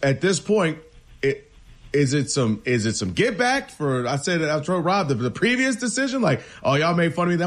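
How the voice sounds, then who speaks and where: A man co-hosting sports talk radio, talking in a casual, slangy, conversational way. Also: a little high.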